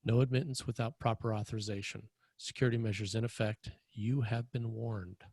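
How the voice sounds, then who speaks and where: A man's voice: lowish voice, steady tone, a little fast, darkish tone, slightly rough, no echo. A man reading screenplay stage directions as a narrator at a table read.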